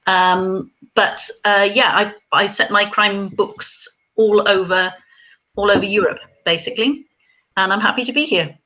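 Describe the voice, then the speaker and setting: A woman's voice: mid-range voice, measured pace, pushed and bright, highs cut off. A woman from Wales, a crime writer on a panel, talking hesitantly with many ums and restarts. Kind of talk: casual panel chat.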